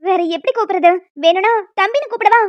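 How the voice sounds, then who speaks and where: A woman's voice: very high-pitched, fast talker, lo-fi sound, a little hiss or hum. A woman voicing a naive young female college fresher in a cartoon, polite and childlike as she pleads and protests. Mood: innocent. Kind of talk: cartoon story dialogue.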